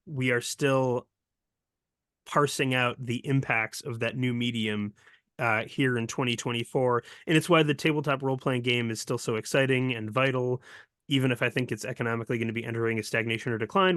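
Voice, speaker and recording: A man's voice: medium pitch, a little fast, fairly bright tone, no room sound, clean studio sound. A man in his forties co-hosting a podcast in casual discussion, opinionated and emphatic, wandering into digressions. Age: 40s. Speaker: podcast co-host.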